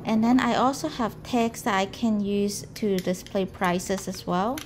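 A woman in her thirties, a potter, calmly explaining her market packing in a gentle vlog narration. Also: medium pitch. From Canada. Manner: slow, gentle, careful delivery. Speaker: potter vlogger. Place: home room indoors. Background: background music.

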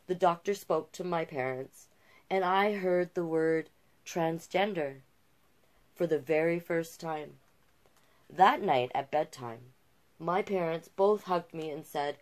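A middle-aged woman hosting storytime, reading a picture book aloud expressively and adding savouring asides of her own. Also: fairly low pitch. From Canada. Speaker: drag king storytime host. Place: small cluttered indoor room.

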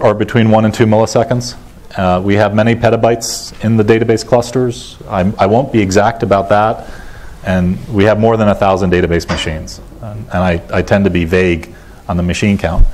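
Low-pitched middle-aged American man presenting. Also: a little mellow. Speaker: conference keynote speaker. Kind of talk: technical conference presentation. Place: large lecture auditorium, indoors. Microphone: lapel mic.

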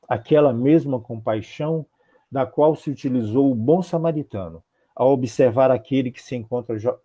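A man in his sixties with a Brazilian accent, giving a religious talk from a home office over a video call, in measured, rhythmic phrases. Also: medium pitch, mellow. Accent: Brazilian Portuguese. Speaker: spiritist lecturer. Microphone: earphones with mic on a video call.

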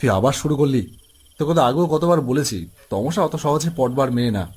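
A man's voice: medium pitch, fairly quick, a little mellow, husky, close to an everyday voice. A man voicing an eager young city visitor in a cartoon ghost story, with music behind. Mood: curious, insistent.

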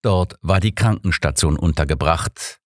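Low male audiobook narrator, measured storytelling, really dry recording. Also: no room sound. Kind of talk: scripted first-person mystery narration.

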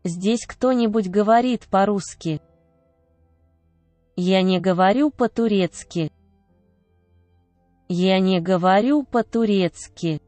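Slow, mellow-voiced woman reading phrases. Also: medium pitch, dry recording, soft background music. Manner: measured, clearly enunciated phrases. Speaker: Russian phrase reader.

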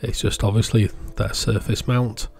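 Low-voiced man presenting electronics. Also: level tone, normal speed, creaky, a little mellow. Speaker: presenter of an electronics hobby channel. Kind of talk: casual product showcase voice-over.

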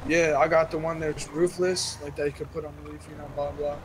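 A man's voice: fairly high pitch, completely flat delivery, brisk. A man chatting casually in slangy, profane language as he plays an online roleplay game. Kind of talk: casual gaming chat. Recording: noisy game audio with engine sounds. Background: game car noise.

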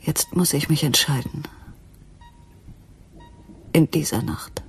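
A woman playing a troubled woman searching for the truth in a German radio crime drama, with a breathy, husky voice. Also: low voice, slow pace, a little hiss or hum. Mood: anguished, whispering.

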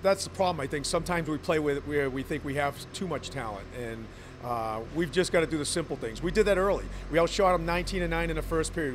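A man's voice: a little high, noisy background. A middle-aged man, a head coach, speaking frankly in a post-game interview into a handheld mic, with frequent uhs. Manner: measured and frank, with many uhs. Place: indoor arena corridor. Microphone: handheld mic held close.

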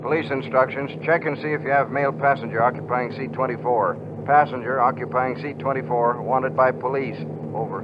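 An actor playing a brisk, official airport tower operator in a 1940s radio drama. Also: male voice, mid-range voice, steady tone, lightly acted. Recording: muffled, very noisy 1940s radio recording.

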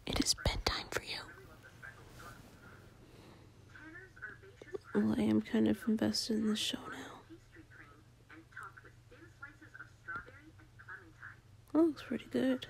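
A woman playing a drowsy, affectionate girlfriend in a casual, intimate ASMR roleplay, murmuring playfully in a breathy whisper. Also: a little high, level tone, normal speed, darkish tone, low-quality recording. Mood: sleepy, teasing. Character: sleepy affectionate girlfriend cuddling while watching a baking show.

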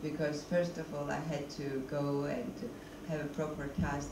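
A middle-aged woman with a gravelly, creaky voice, speaking into a handheld mic on a stage. She pauses often to hunt for words in English. The sound is echoey and noisy, picked up from a distance in a screening hall. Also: deep voice, flat delivery. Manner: searching for words, non-native English. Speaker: documentary filmmaker, guest. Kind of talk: stage Q&A interview.

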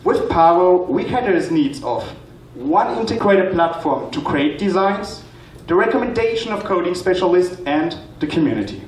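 A man in his twenties giving a rehearsed stage pitch as a student presenter, recorded in a hall. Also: animated, moderate pace. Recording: echoey, noisy hall, distant microphone pickup.

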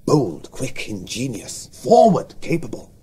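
An actor playing a sardonic, ruthless, scheming duke in a Shakespearean audio drama, with a husky voice and wry theatrical delivery. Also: male voice, mid-range voice, huge pitch swings, unhurried, dark tone, a little hiss or hum. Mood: sardonic relish. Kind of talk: Shakespearean radio drama acting.

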